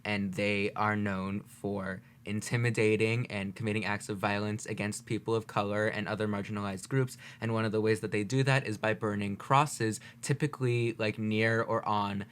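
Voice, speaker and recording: A man with a fairly low voice talks through his reactions casually. He explains things analytically and articulately, often checking in with the listener as he makes a point. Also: steady tone, normal speed, hardly any pauses, fairly bright tone, a little hiss or hum. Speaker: video host, music reactor.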